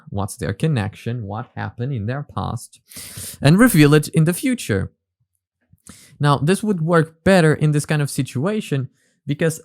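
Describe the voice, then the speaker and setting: A man's voice: medium pitch, no room sound, studio quality. A man hosting a reaction video with casual analytical commentary, often repeating words as he explains.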